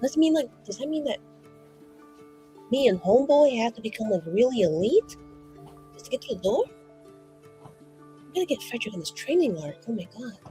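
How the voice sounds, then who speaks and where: A woman's voice: a little high, big pitch swings, fairly quick, pauses often, warm tone, faint music behind, voice pushed down. A woman voicing a nervous, scheming young mage in a fantasy tabletop game, rambling, slangy and anxiously earnest. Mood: evasive, rambling.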